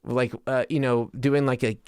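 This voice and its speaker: American male podcast host, mid-pitched, smooth clean voice, loose self-interrupting delivery, dry close sound. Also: level tone, moderate pace, no room sound. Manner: self-interrupting, trails into tangents. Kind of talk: casual, rambling solo podcast talk.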